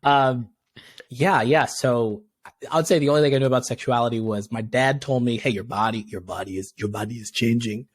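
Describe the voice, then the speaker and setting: A young man from Tanzania, a podcast guest, chatting casually and acting out quotes with sudden jumps in pitch, laughing often. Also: fairly quick. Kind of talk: casual interview chat.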